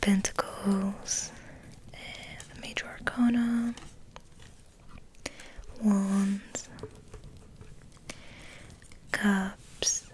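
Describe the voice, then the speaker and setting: A woman hosts an ASMR session in a soft, breathy whisper. Her delivery is slow and musing, with very little rise or fall in pitch. She sounds gentle and unhurried throughout. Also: little pitch movement. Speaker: ASMR host.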